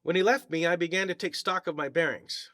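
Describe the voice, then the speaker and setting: A man narrates an eerie short story in a theatrical, deliberate way. His voice sits a little high and has a bright, forceful edge. The recording is very dry, with little room sound. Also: dry recording. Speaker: story reader.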